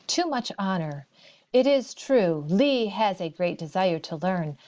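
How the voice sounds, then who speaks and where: Woman reading a humble, slow-spoken shopkeeper father. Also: medium pitch, lo-fi sound, lightly acted. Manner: humble, formal. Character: humble shopkeeper father, deferential yet firm.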